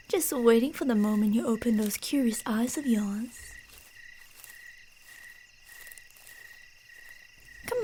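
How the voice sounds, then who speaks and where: A woman playing a creepy, delusional stalker posing as a park ranger in an ASMR roleplay, teasing and falsely sweet, slipping between soft speech and whispers. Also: high-pitched, slight background noise. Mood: teasing, eerie.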